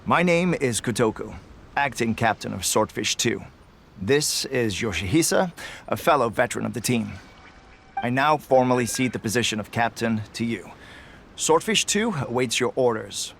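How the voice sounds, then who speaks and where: A veteran soldier in a video game with a mid-pitched, animated delivery. He is sober, formal and straight-talking, with faint ambience behind him. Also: normal speed, creaky, slight background noise. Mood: respectful. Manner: formal, blunt, military.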